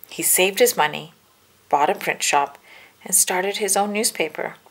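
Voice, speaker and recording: A woman reading a children's storybook aloud, with careful, deliberate phrasing for young listeners. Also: fairly low pitch, creaky, fairly bright tone. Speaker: children's book reader. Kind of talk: read-aloud storybook narration.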